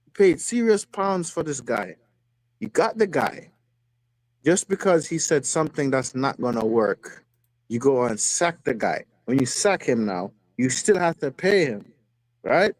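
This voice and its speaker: A man with a medium-pitched voice delivers an impassioned fan rant. He talks casually and hammers points home by repeating words. The sound is dry, as from a call on his phone. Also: sing-song, a little slow, dry recording, poor audio. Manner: emphatic, repeats words and phrases for stress. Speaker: fan caller on a football live stream. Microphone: phone, video call.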